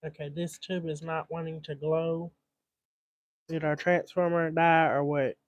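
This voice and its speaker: A man with a fairly high voice talks very slowly, as if thinking out loud. He speaks casually in short, muttered fragments, like a livestream host narrating a hands-on repair to himself. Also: little pitch movement, no room sound, muffled recording. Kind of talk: casual live repair commentary, thinking aloud.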